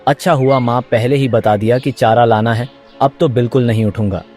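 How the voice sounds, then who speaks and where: A man's voice: mid-range voice, fairly even intonation, a little fast, darkish tone, faint music behind, lightly acted. Man acting sulky, lazy young village son. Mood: grumbling. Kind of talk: cartoon moral story voice acting.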